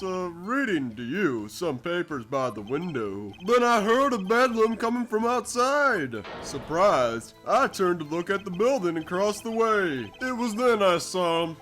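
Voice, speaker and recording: A man's voice: sits high, fairly bright tone. A man voicing a pompous, smug company president who mangles big words in an amateur game fandub. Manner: pompous, affected, stretching out invented fancy words.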